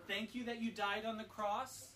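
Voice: mid-range voice, level tone, normal speed, a crowd in the background. A parent leading a family devotional and prayer, giving short instructions and prompts. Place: at home with family. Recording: noisy home video recording.

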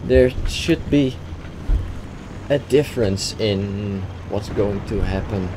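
A man's voice: lowish voice, takes it slowly, warm tone, low-quality recording. A young man from the Netherlands with a Dutch accent, talking over a game replay with hesitant fillers and restarts. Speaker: gaming YouTuber. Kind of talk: gameplay commentary over a replay. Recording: game noise behind the voice.

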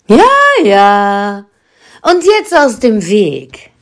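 A woman's voice: medium pitch, big pitch swings, extremely slow, a little mellow, heavily acted. An actress playing a sassy, villainous witch grandmother in an audio drama, with a sardonic drawl. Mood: impatient, scheming. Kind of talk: German audio drama acting.

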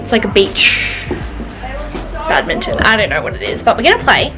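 A woman's voice: a little high, very animated, moderate pace. Chatty young woman vlogging. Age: 20s. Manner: chatty, upbeat, rambling. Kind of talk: casual vlog chat to camera. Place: home rooms indoors and windy beach park outdoors. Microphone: handheld camera close to face. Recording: background music under voice. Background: music.

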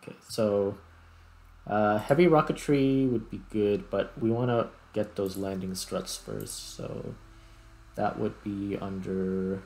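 A man giving casual, unhurried gameplay commentary, thinking aloud as he plays. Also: on the low side, fairly even intonation, darkish tone. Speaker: host, gamer.

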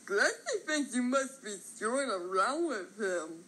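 A woman voicing a small, naive, childlike grass character for a cartoon, cutesy and squeaky with a husky edge. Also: big pitch swings, unhurried, sharp tone, exaggerated voice. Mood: curious, innocent. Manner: cutesy, refers to self in third person.

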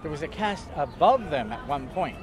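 A man's voice: on the high side, big pitch swings, normal speed, a crowd in the background. An older man in casual conversation outdoors on a waterfront pier, talking in a chatty, theatrical, joking way. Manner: chatty, theatrical, jokey with bursts of loud laughter. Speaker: interviewee. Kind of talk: casual storytelling. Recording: outdoor street noise behind.

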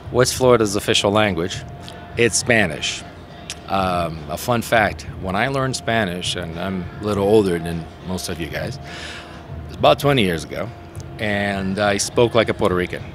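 Brazilian-born man, low voice, outdoors. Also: a little hiss or hum. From southern Brazil. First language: Portuguese. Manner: animated, rambling storyteller with many restarts. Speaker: interpreter guest. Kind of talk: casual interview talk. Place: outdoor rooftop terrace, city traffic behind. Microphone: handheld mic held by interviewer.

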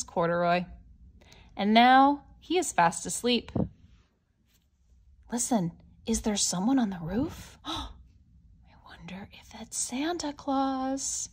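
A woman with a fairly high voice reads a children's picture book aloud at a very slow pace. She phrases things deliberately and expressively for young listeners, posing simple questions and explaining as she goes. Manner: expressive, questioning, with gasps and hushed asides. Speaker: storybook reader for young children.

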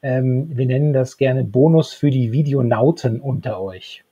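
A man in his thirties with a husky voice, talking as a podcast co-host. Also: medium pitch, a little slow, dark tone, highs cut off. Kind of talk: podcast talk, partly read summary. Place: small book-lined room at home. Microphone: handheld-style recorder microphone close up, earphones.

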